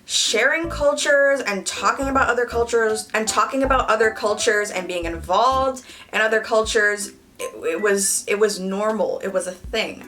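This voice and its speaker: A young woman from Canada chatting candidly to her viewers while she does her makeup. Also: mid-range voice. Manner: candid, animated, talks to the viewers directly. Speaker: beauty vlogger. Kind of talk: casual chat while doing makeup. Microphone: camera mic, close.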